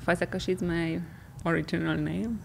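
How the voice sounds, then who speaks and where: A woman with a Hungarian accent whose first language is Hungarian, a shy, soft-spoken guest who giggles easily. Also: lowish voice, fairly even intonation, normal speed, vocal fry, a little hiss or hum. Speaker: Olympic athlete and guest. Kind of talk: casual interview chat.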